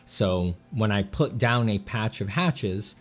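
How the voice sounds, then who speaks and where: A man streaming while he draws, chatting casually with dry deadpan jokes and a slight slur from a sore mouth. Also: fairly low pitch, slow pace. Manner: dry deadpan joking, relaxed and rambling. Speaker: artist streaming a drawing session. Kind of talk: casual livestream chat.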